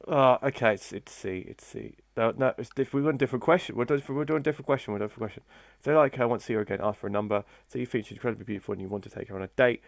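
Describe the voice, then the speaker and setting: A man's voice: mid-range voice, rapid-fire, creaky, few pauses, no echo, studio quality. A male gaming host doing let's play commentary, joking, mocking and reading the options aloud.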